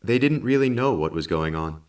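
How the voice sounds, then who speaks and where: A man narrating a story calmly and patiently. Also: low-pitched, moderate pace, distant mic. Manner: calm, patient explaining.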